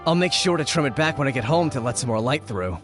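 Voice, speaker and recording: A man's voice: mid-range voice, expressive, quick pace, over music, lightly acted. A man voicing an earnest, apologetic young villager in a video game, pleading and at times tripping over his words. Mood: relieved, grateful.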